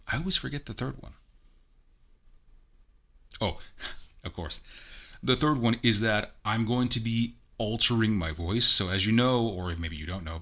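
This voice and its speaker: A man with a deep voice, casually reviewing a game in a chatty, self-deprecating way. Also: pushed and bright. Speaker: game reviewer and amateur voice actor.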